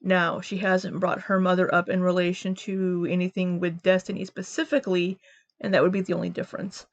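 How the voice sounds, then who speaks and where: A woman's voice: fairly low pitch, level tone, fairly quick, no room sound, muffled recording. A woman hosting a commentary channel, giving her opinions in a casual spoken style.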